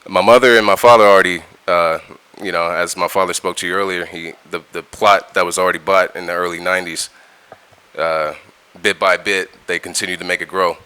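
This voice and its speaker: A middle-aged man speaks in a very low, resonant voice. He talks in a relaxed, slangy way, looping back over words as he goes. His tone is forceful and crisp. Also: fairly quick, low-quality recording. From California, United States. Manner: laid-back and slangy, repeats words and restarts. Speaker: music producer guest. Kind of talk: casual interview talk.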